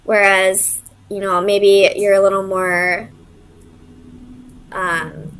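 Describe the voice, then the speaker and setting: A woman's voice: mid-range voice, fairly even intonation, takes it slowly, a bit bright, slight background noise. A woman giving a casual, conversational tarot reading, hesitant as she thinks aloud and searches for her words. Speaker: tarot reader.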